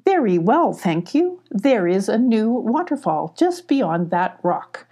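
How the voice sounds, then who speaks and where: A woman's voice: mid-range voice, very animated, takes it slowly, warm tone, husky, heavily acted. A woman voicing a polite, apologetic, hesitant male beaver neighbor in a children's storybook. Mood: earnest. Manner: hesitant, courteous.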